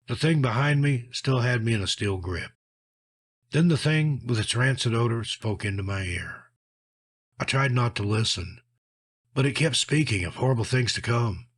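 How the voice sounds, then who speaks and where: Husky low-voiced man narrating horror tales. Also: a bit bright. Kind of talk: scripted narration of scary tales.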